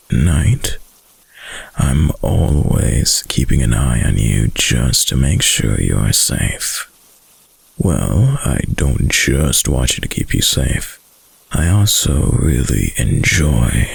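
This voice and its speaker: Man voicing a very deep, gravelly possessive wolf-boy lover. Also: no room sound, full character voice. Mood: doting, watchful. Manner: slow, murmured, cooing and possessive. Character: possessive, doting young male wolf-boy lover, dominant. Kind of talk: intimate ASMR boyfriend roleplay. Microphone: close, dry microphone.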